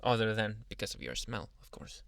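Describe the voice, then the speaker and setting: A man playing a protective young adult werewolf suitor in an intimate ASMR boyfriend roleplay, speaking softly and haltingly, sometimes in a whisper, close to the microphone. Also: on the low side, sing-song, clean tone, dry recording. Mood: tender, hopeful. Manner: soft, hesitant, halting, intimate delivery drifting into whispers.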